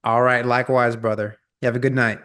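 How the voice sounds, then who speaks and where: A man's voice: medium pitch, flat delivery, quick pace, a bit bright. A man hosting a casual interview, affirming his guest in a friendly way. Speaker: host.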